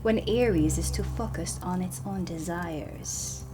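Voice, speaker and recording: A woman playing a stern, dry dark witch teacher in a soft-spoken ASMR roleplay, deliberate and often slipping toward a whisper. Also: fairly low pitch, natural voice, rough recording. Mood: calm, instructive. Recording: close voice under prominent ambient music. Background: ambient music.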